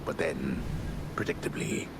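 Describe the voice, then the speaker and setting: An actor playing a solemn, wry storyteller in a video game, narrating in a gravelly, hushed voice. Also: male voice, unhurried, very noisy, close to an everyday voice. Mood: wry gravity.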